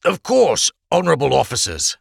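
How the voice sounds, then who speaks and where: A man voicing a frightened, pleading merchant locked in a cell, pushing his voice high and strained. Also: huge pitch swings, moderate pace, gravelly, breathy edge, no room sound, clean studio sound, full character voice. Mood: insistent.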